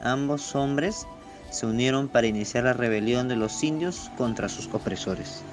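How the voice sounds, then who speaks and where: Mid-pitched male narrator, faint music. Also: normal speed. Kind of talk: scripted narration of a radio novel.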